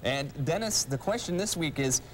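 A man's voice: fairly high pitch, animated, moderate pace, slight background noise, rough recording. A young man presenting a sports show as host, in an announcer's role at an indoor rink. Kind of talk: sports show presenting, reading schedule and viewer question.

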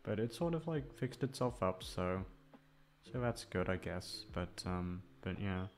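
Low-pitched man rambling casually over music. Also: animated, measured pace. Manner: hesitant, self-deprecating, freely swearing. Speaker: ASMR voice actor and channel host. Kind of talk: casual rambling chat to listeners. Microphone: microphone, sitting a little back from it. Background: soft guitar music.

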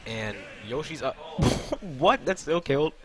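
A man's voice: a little high, expressive, a little slow, very noisy. A young man commentating a video game tournament stream, chatting loosely and laughing between plays. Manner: casual, laughs often, answers chat between plays. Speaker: esports commentator. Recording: loud music behind. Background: game music.

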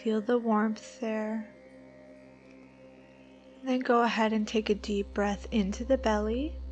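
A woman leading a guided meditation, giving soothing, unhurried instructions with long pauses between phrases. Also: on the high side, steady tone, dull audio. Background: background music.

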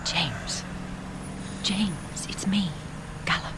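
Slow, hushed captive woman. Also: fairly low pitch, lively intonation. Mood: anxious. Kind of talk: radio drama acting. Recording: very noisy vehicle ambience.

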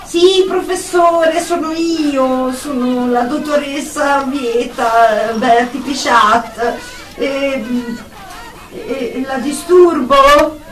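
In an echoey room and away from the mic, an actress plays an anxious woman psychotherapist in a radio drama. Her voice is high and slow, with a halting, apologetic delivery. She sounds deferential, as if reporting to a superior by phone. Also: recorded from a distance, a faint crowd. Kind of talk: radio drama monologue, one side of a phone call.

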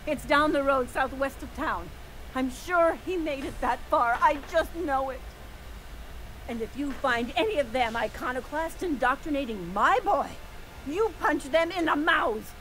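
High-voiced fretful frontier mother. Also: a bit bright, a little hiss or hum, poor audio. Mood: fretful, relieved. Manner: folksy, fretful, melodramatic. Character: frantic, overprotective, melodramatic frontier mother. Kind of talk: video game character dialogue.

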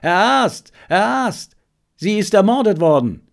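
A man voicing an eager, disobedient messenger boy who plays junior sleuth in an audiobook, reporting earnestly and excitably. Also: high voice, unhurried, creaky, pitched above the performer's own voice, heavily acted. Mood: breathless alarm.

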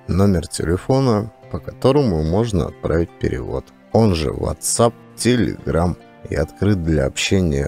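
A man's voice: sits low, creaky. A man reading an audiobook and then thanking and addressing his listeners earnestly. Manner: earnest, warm direct appeal to listeners. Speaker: audiobook narrator. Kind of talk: scripted audiobook narration. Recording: faint background music.

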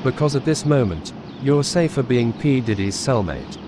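A man's voice: medium pitch, expressive, normal speed. A man doing a mock-aggressive, profane comedic skit in a bullying manner. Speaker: video channel host. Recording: clear street and vehicle noise.

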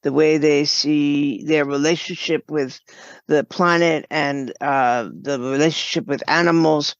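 An older woman speaking thoughtfully and haltingly as an interview guest, explaining things in a reflective way. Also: deep voice, steady tone, no echo, highs cut off. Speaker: guest, writer and producer.

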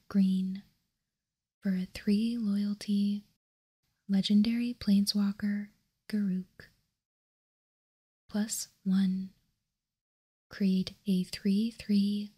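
A woman whispers in a very breathy, airy voice with almost no tone to it. She goes slowly and deliberately in an ASMR style, keeping an even, hushed delivery close to the mic. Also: medium pitch. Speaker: host. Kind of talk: whispered ASMR card showcase.

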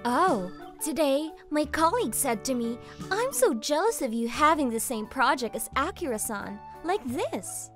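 A woman's voice: high-pitched, sing-song, measured pace, few pauses, fairly bright tone, heavily acted. A woman playing an admiring, chatty young office colleague in a story, gushing and inquisitive. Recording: music behind the voice.

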